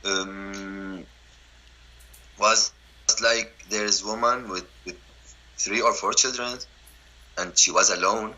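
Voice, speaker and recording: A man in his twenties from Syria with an Arabic accent, telling his story in halting, broken English full of restarts. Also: on the low side, fairly even intonation, bright, forceful tone, low-quality recording. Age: 20s. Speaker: interviewee. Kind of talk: personal story, casual.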